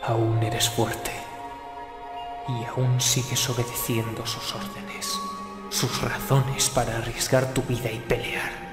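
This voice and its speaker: A man's voice: mid-range voice, little pitch movement, slow pace, close to an everyday voice. A man playing a weary, devoted young male assassin in an anime fan dub, brooding and emotional. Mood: grim resignation. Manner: brooding, emotional, dramatic phrasing. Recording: long reverb under loud violin music.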